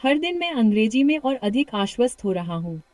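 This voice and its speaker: A British-accented woman leads a speaking drill, cheering the listener on and repeating each practice line slowly and clearly. Her voice sits a little high. The sound is close and dry, with no room around it. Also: big pitch swings, dry recording. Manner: warm encouragement, slow repeated practice sentences. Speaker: English speaking coach. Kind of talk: guided shadowing practice lesson.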